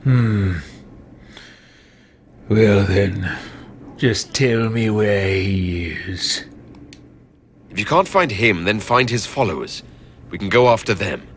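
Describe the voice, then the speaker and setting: A man voicing an imperious, brooding leader in a fantasy game roleplay, speaking curtly and deliberately. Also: fairly low pitch, a little hiss or hum, pitched below the performer's own voice.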